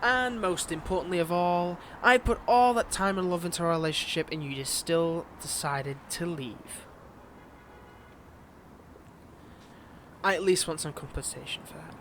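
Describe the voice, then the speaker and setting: Man acting a high-voiced, smug, possessive villain ex. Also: normal speed, few pauses, a bit bright, slight background noise. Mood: pleading, indignant. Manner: flirtatious, taunting, theatrical, with pet names and veiled threats. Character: smug, possessive male villain wooing back a former lover. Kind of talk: dramatic audio roleplay acting.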